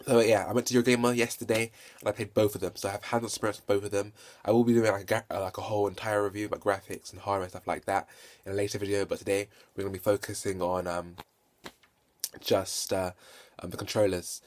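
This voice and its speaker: Low-pitched, stuttering man reviewing games. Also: level tone. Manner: halting, self-correcting and repetitive. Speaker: video game reviewer and vlogger. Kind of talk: unscripted casual hands-on review. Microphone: handheld phone.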